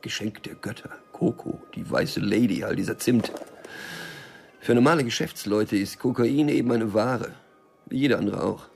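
An actor playing a stubborn, wary small-time drug dealer and loner in a radio crime drama, curt, dry and cynical. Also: male voice, fairly low pitch, moderate pace, vocal fry, faint music behind. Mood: matter-of-fact, cynical.

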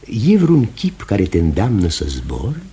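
An actor playing a commanding pastor who hunts the devil, speaking in an emphatic, oratorical radio theatre manner. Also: male voice, on the low side, huge pitch swings, warm tone. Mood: probing, intense. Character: commanding, certain male pastor hunting witchcraft and the devil.

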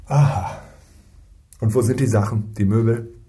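A man playing a gruff, irritable lead investigator in a German radio crime drama, sarcastic and used to giving orders. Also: lowish voice, a little slow, dark tone, close to an everyday voice. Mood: irritated, sarcastic. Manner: sarcastic, authoritative.

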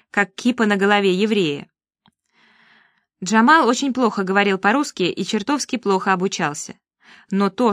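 Woman audiobook narrator, measured ironic storytelling, dry studio sound. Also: mid-range voice, dry recording. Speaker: audiobook reader. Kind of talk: scripted narration of a first-person story.

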